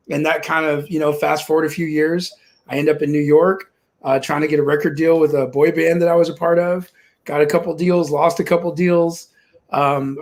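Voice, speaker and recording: A man in his forties from Kansas, telling his life story as an interview guest on a video call from home, upbeat and optimistic with frequent restarts. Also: on the high side. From Kansas, USA. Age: 40s. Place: home room.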